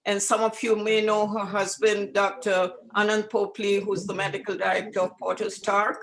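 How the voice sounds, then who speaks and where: High flat gravelly man. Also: moderate pace, rough recording. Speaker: club member introducing guests.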